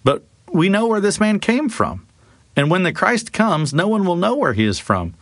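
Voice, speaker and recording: Man as skeptical male townsperson in Bible storytelling, higher-pitched, questioning, dry sound. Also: sing-song, normal speed, no echo, heavily acted. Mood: puzzled, skeptical. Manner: raised, questioning. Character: puzzled, questioning townspeople in a crowd.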